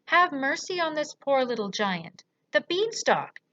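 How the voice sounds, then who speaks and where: A woman's voice: very high voice, flat delivery, unhurried, fairly bright tone, highs cut off, voice pushed up. A woman voicing a huge, brutish male storybook giant, bellowing and pleading in terror with garbled words. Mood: frantic pleading.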